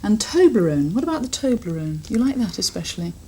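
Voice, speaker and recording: A woman's voice: mid-range voice, very animated, brisk, mellow, a little hiss or hum, rough recording. A British woman presents in a chatty studio style. Her intonation swings widely, and she teases playfully as she talks. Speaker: television presenter. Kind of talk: chatty studio interview with a child.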